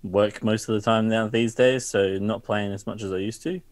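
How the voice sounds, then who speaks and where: A man's voice: on the low side, fairly even intonation, moderate pace, darkish tone, no room sound. An Australian man from South Australia, a guest on a casual gaming podcast, talking in a laid-back, dry way.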